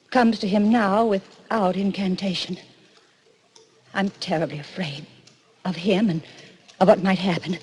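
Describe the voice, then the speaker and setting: A woman's voice: medium pitch, darkish tone, lightly acted. An actress playing a frightened, earnest young woman in a 1940s radio drama, with an urgent, melodramatic delivery. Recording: vintage 1940s radio recording.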